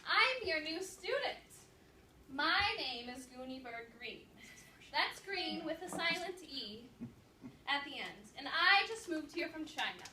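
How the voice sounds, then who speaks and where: A woman's voice: high voice, big pitch swings, slow pace, pushed and bright, voice pushed up, exaggerated voice. A woman voicing a confident, emphatic little schoolgirl from a storybook, full of self-assurance. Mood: bold, confident. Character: bold, self-assured little schoolgirl, new second grader. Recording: roomy classroom, distant mic, background noise.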